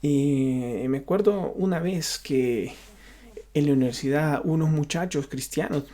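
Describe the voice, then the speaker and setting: A man from Honduras with a Honduran Spanish accent, a pastor giving an unscripted personal testimony, often halting and repeating himself as he searches for words. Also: fairly high pitch, mellow.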